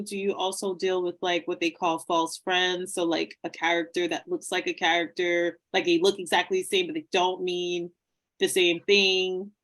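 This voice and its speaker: A woman's voice: fairly low pitch, fairly bright tone. A woman hosts a casual interview, asking long, rambling questions full of little restarts. She is self-deprecating and laughs often as she talks. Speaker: podcast host.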